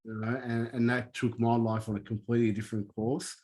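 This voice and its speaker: A man's voice: mid-range voice, flat delivery, brisk, a little mellow. A man born in Lebanon who speaks Arabic natively, earnestly telling his life story as a podcast guest, with many ums and restarts. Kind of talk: candid personal storytelling.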